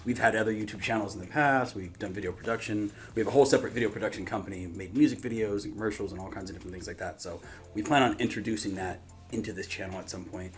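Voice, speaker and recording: Man, lowish pitch, YouTube host, casual chat, rambling, informal, slangy. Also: racing, soft background music. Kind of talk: casual reaction channel chat.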